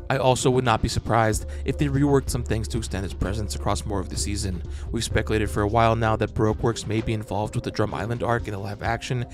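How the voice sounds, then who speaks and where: A man narrating a scripted breakdown as a host, in a measured, explanatory manner. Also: lowish voice, fairly even intonation. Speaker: host of a fan breakdown channel. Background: music.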